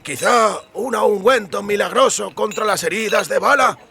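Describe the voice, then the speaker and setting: A man's voice: high voice, normal speed, gravelly, pushed and bright, soft background music, voice pushed up, full character voice. A man voicing an eager, chatty amulet merchant with effusive, wheedling sales patter in an audiobook. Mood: obsequious, eager. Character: eager, chatty male amulet merchant peddling quack remedies. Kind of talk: audiobook character acting.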